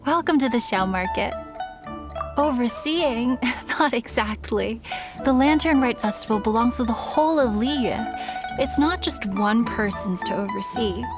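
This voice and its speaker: A woman's voice: a little high, lively intonation, a little slow. A woman playing a helpful, modest festival organizer in a video game, welcoming and easygoing with visitors. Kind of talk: video game character acting. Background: music.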